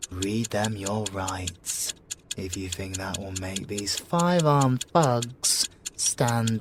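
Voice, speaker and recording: A very slow, drawn-out British male voice , acted by a man, for a sly siren in an audio roleplay. He is teasing and mock-polite, with menace underneath and theatrical swings in pitch. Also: noisy background. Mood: coolly mocking. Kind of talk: ASMR audio roleplay acting.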